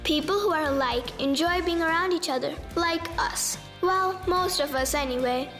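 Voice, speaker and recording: A woman's voice: high-pitched, normal speed, a bit bright, faint music behind. A young girl from India, a podcast co-host, explaining confidently.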